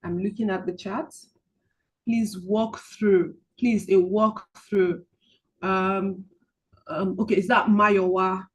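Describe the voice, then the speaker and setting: Halting woman money coach on a call. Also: medium pitch, fairly even intonation, rough recording. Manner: halting, many filler ums and restarts; soft, slow guided-relaxation instructions. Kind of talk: online group coaching session with guided visualization. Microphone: video call.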